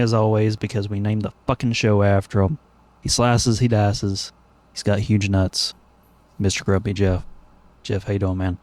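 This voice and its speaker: A man with a somewhat low voice hosts a laid-back podcast chat. His delivery is informal and playful, with plenty of swearing and banter. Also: darkish tone. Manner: jokey, profane banter. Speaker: podcast host. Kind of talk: casual chat.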